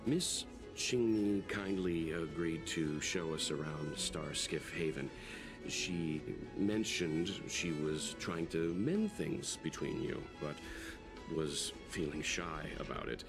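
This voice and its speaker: An actor voicing a calm, courteous gentleman in a video game, with a polite, measured delivery. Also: extremely low pitch, music in the background, heavily acted.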